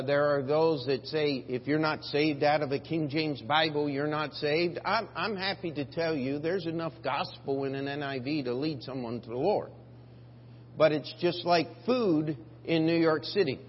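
Man pastor, high voice, emphatic sermon, long hall reverb, dull lo-fi sound with faint hum. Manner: emphatic, rising on scripture, dropping low for asides. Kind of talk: preaching a sermon.

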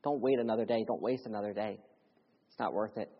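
A young man from Philadelphia preaching a sermon as a missionary, mixing personal testimony with earnest appeals, his voice rising loud and urgent, then dropping to hushed lows. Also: fairly even intonation, quick pace, husky. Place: church sanctuary. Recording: dull audio with long church reverb.

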